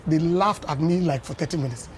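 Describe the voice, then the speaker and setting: A Ghanaian man in his fifties, a former dance champion, tells unhurried stories as a guest on a breakfast TV interview, often adding "you know.". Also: fairly high pitch. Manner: anecdotal storyteller, unhurried with many "you know"s. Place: television studio.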